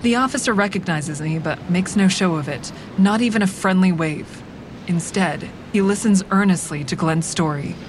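An actress narrating in first person as a grieving, quietly deceptive woman in an audio drama, measured and introspective, with a steady vehicle rumble behind. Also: natural voice. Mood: guarded.

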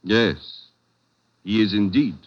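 A man's voice: fairly low pitch, slow pace. An actor playing a suave, aloof Frenchman in a 1940s radio drama, speaking formally and precisely with dry politeness. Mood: dry, courteous. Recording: dull vintage radio recording with music.

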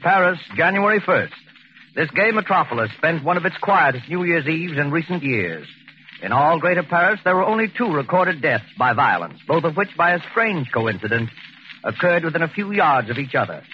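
A formal, animated radio storyteller. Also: male voice, fairly high pitch. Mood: grave, formal. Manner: measured, formal, dramatic. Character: grave storyteller reading a newspaper report aloud. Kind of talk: 1940s radio narration. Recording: muffled, noisy 1940s radio recording.

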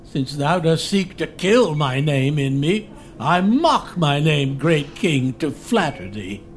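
A man's voice: a little high, sing-song, slow pace. An actor playing a dying old nobleman who mocks his own name and scolds the king, laughing bitterly through his lines in a classical stage style. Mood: reproachful.

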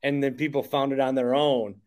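A man's voice: mid-range voice, flat delivery, a little fast, a little mellow, smooth. A man hosting a podcast, chatting casually and prompting his guest with emphatic remarks. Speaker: podcast host. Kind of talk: casual interview chat.

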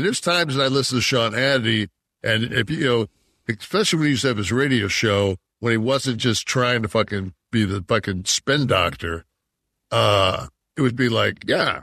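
A man's voice: medium pitch, bright, forceful tone, no echo, clean studio sound. A man in his sixties from Detroit, co-hosting a podcast and talking in a heated, profane, rambling way. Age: 60s. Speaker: podcast co-host. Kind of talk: casual chat.

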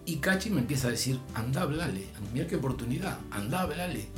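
An older man from Argentina with an Argentine Spanish accent, telling a long story in a relaxed chat, his voice rising and dropping as he goes. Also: mid-range voice, fast talker, music in the background. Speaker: storyteller guest. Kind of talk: casual anecdote.

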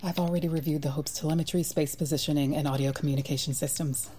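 A woman's voice: sits low, fairly even intonation, fast talker, mellow, a little hiss or hum, lightly acted. An actress playing a determined, insistent female federal agent pressing her boss. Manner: earnest, insistent.

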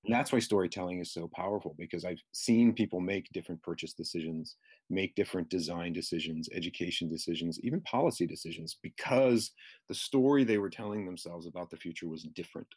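A man's voice: low voice, fast talker, darkish tone, slightly rough. A man, a futurist guest in an interview, explaining his ideas in a conversational, persuasive way.

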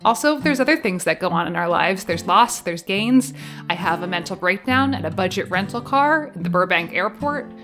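A woman's voice: mid-range voice, expressive, moderate pace. A woman chatting casually and promotionally on a podcast, with a playful, wry manner and guitar music behind her. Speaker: podcast co-presenter, live show MC and author. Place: home basement.

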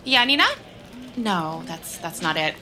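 An actress playing a blunt, curious, gossipy coworker in a scripted audio drama, teasing and inquisitive. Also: female voice, lowish voice, lively intonation, measured pace, music in the background, rough recording.

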